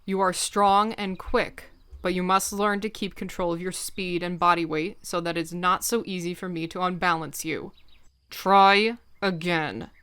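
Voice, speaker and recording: Woman playing a bright-voiced stern cat leader. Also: fairly low pitch, steady tone, smooth, no room sound. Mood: calm, instructive. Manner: firm, measured, deliberate. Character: stern, commanding female warrior cat leader and mentor. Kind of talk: fan-dub audiobook drama acting.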